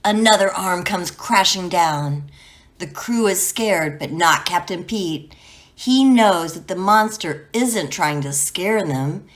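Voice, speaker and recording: Children's storyteller, female: expressive and dramatic, slow-paced with lively pitch, dry sound. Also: no room sound. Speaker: children's storyteller and channel host. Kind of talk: expressive children's book read-aloud.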